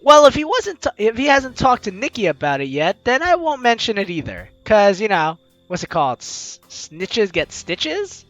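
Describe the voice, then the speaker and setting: A playful game streamer with a bright voice and very lively pitch. The delivery is teasing and full of exclamation, moving between reading aloud and commentary. It is recorded very dry, with faint music behind. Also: normal speed, hardly any pauses, no room sound, lo-fi sound. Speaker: let's-play video host.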